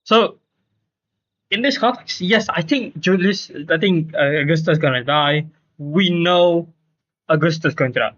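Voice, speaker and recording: A man commentates casually on a live game stream. His voice sits a little high, and he talks haltingly, repeating words for emphasis. The audio is dull and lo-fi. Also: a bit bright.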